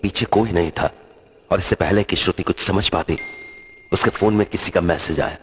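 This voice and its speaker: A man's voice: deep voice, normal speed, slight background noise. A man narrating a scripted horror story.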